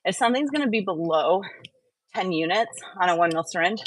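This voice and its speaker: Low-pitched woman explaining casually. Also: animated, a little fast, a little hiss or hum. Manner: conversational, step-by-step explaining with repetition. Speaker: host of a live wellness stream. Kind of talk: casual explanatory livestream talk.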